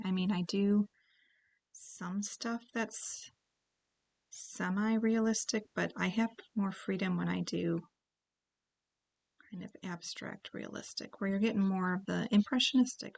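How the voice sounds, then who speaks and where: A woman leading a relaxed paint-along tutorial, chatting and thinking aloud as she paints, and now and then clearing her throat. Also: lowish voice. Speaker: painting instructor.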